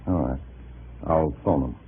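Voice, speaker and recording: An actor playing a nervous, hesitant undercover agent posing as a loyal comrade in a 1950s radio drama. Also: male voice, very deep voice, unhurried, husky, heavily acted. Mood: guarded, evasive. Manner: hesitant, stammering under pressure. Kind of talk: 1950s radio drama acting with first-person narration. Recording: tinny, noisy old radio recording.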